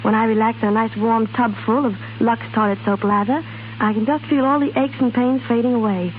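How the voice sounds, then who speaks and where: A woman's voice: on the high side, steady tone, brisk, close to an everyday voice. An actress playing a young salesgirl, eager and dramatic, in a 1930s radio commercial. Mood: bright, eager. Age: young adult. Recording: hissy, narrow-band 1930s radio recording.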